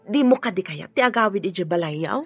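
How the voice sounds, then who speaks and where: A woman's voice: medium pitch, lively intonation, fairly quick, slightly rough, lo-fi sound, soft background music. A woman playing a nosy, chatty older household helper in an Ilocano radio drama. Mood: curious, concerned.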